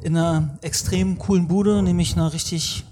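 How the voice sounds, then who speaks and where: A man's voice: sits high, fairly even intonation, normal speed, noisy background. A man hosting a podcast, interviewing a guest in a chatty, upbeat way. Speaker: podcast host.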